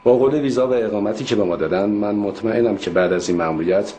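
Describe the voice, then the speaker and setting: An actor playing an eager, confident leader of recruited saboteurs in a propaganda film. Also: male voice, lowish voice, warm tone, lightly acted. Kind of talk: scripted propaganda film acting. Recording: echoey room, noisy background.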